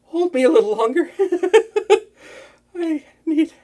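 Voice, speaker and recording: A woman playing a nervous, smitten young wizard in an intimate roleplay audio drama, with a stammering, excitable and bookish way of talking. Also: high voice, a little slow. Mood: giddy. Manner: flustered stammering, hesitant, breathless enthusiasm.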